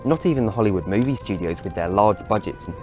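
A man's voice: on the low side, lively intonation, fast talker, music in the background. A man, reading scripted narration for an audio story.

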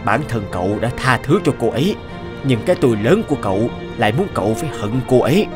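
Man voicing a calm, wise older advisor. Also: mid-range voice, fast talker, natural voice. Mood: counseling. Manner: gentle, probing questions. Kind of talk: cartoon voice acting. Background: background music.

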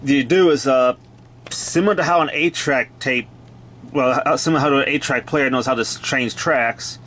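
A man's voice: mid-range voice, normal speed, a bit bright. A man giving a casual hands-on equipment walkthrough in short, clipped, matter-of-fact phrases, recorded indoors on a handheld camera. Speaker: gadget demonstrator. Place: indoors, cluttered home room.

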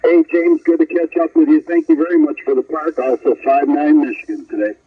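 A man's voice: on the high side, fairly even intonation, fairly quick, recorded from a distance. A man calling in over the radio, giving short clipped signal reports. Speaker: radio caller. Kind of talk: radio contact exchange. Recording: tinny, noisy radio reception.

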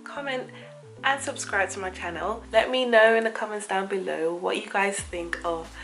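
A young woman with a hoarse, flu-worn voice, chatting upbeat to camera in her dining room. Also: mid-range voice, moderate pace, a bit bright, soft background music. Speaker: DIY vlogger. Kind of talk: on-camera outro chat. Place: home dining room indoors. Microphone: camera at close range.

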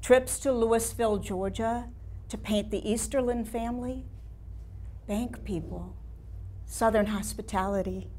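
Slow, wistful older woman painter reminiscing, played by a woman. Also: fairly high pitch, steady tone, a little mellow, a little hiss or hum, close to an everyday voice. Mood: fond reminiscing. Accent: American. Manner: measured, nostalgic, pausing between phrases. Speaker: playwright performing a staged reading. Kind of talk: theatrical monologue reading. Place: small studio room with seated audience.

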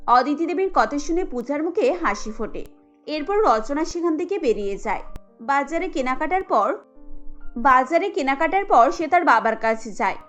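A woman's voice: high voice, expressive, moderate pace, a bit bright, faint music behind. A woman giving scripted narration for a family cartoon story.

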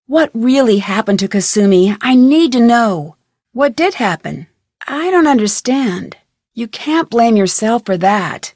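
A woman voicing an inquisitive, persistent young amateur detective in a video game, earnest and clearly articulated. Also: on the high side, a little mellow, no echo, clean studio sound. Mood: pressing, suspicious, then consoling. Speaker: voice actor playing the lead detective. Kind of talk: video game dialogue lines.

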